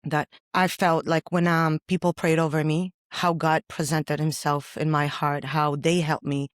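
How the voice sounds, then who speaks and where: Deep-voiced woman, earnest and halting. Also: level tone, no room sound, studio quality. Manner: earnest, halting, many pauses. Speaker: podcast guest. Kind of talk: personal faith testimony in conversation.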